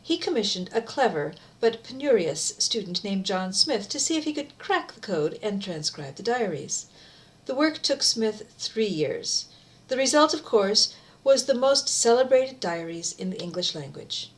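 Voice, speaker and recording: A woman's voice: mid-range voice, big pitch swings, measured pace. A middle-aged woman speaking informally, as someone sharing and reading a favorite book aloud in an amateur video. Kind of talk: casual chat and amateur read-aloud of a book.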